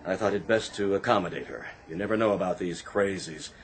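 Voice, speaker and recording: An actor playing a fussy, sarcastic department store supervisor in a radio drama, officious and theatrical. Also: male voice, low voice, a little fast, slight background noise. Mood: exasperated, sarcastic.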